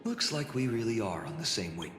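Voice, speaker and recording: A man's voice: fairly low pitch, big pitch swings, brisk, fairly bright tone. A man voicing an earnest, determined young warrior hero in a video game, with a dramatic, wry delivery. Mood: wry, confident. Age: young adult. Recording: long reverb with music behind.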